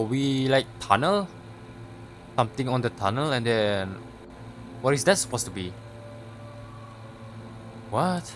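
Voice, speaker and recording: A man's voice: medium pitch, background noise. A man doing casual let's play commentary, stumbling as he reads text aloud and swearing freely. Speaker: gaming video host.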